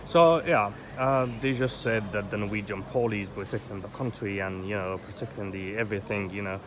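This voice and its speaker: A man's voice: fairly low pitch, moderate pace. A man in his thirties from Egypt, ranting in an agitated, rambling way with lots of swearing and filler words. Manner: agitated, rambling, swears often, heavy "you know" fillers. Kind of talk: rambling personal vlog monologue. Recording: loud outdoor street traffic noise.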